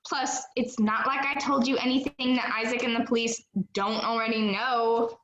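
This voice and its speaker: A woman's voice: a little high, flat delivery, normal speed, fairly bright tone, reverberant, a little hiss or hum, lightly acted, rough recording. A woman in an amateur play acting a cocky, scheming teenage bank robber with a breezy, confident manner. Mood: smug, taunting.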